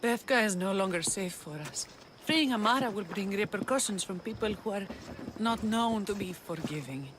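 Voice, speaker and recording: A woman's voice: medium pitch, lively intonation, normal speed, noisy background, lightly acted. A woman playing a devoted, grateful mother in a video game, with an earnest, emotive delivery. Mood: grateful, solemn. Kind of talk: video game cutscene acting.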